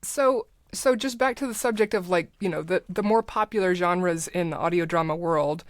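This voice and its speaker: A woman's voice: fairly low pitch, moderate pace, no echo, studio quality. A middle-aged woman talking casually as a guest in an interview about her creative work. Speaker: podcast guest, audio drama writer and producer.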